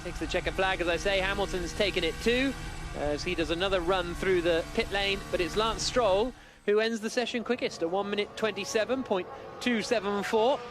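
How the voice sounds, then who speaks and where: High-pitched male motorsport commentator. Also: normal speed, music in the background. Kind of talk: live race session commentary.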